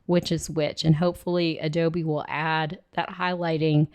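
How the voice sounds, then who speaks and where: Hoarse woman with a low voice. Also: normal speed. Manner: relaxed, thinking aloud while clicking. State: hoarse from a cold. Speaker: illustrator teaching software. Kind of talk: screen-recorded software tutorial.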